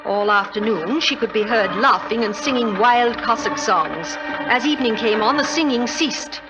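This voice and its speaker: An actress playing a frank, wealthy middle-aged society widow, imperious and chatty, in 1940s radio drama style. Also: female voice, a little high, lo-fi sound, close to an everyday voice. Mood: storytelling. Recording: noisy old 1940s radio recording.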